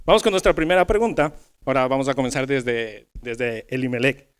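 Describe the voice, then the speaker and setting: A man's voice: medium pitch, fairly quick, clear, resonant tone. A man hosting a church youth podcast in a conversational style. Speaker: podcast host.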